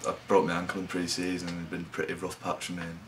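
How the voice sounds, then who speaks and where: A young man from England, a rugby league player giving low-key, halting interview answers. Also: completely flat delivery, soft background music.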